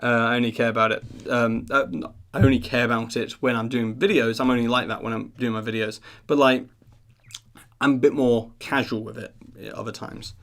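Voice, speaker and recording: A man's voice: mid-range voice, fairly even intonation, fairly quick, a bit bright. A man hosting a live stream, chatting casually in an earnest, rambling, self-deprecating way. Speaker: live stream host of a theme park news channel.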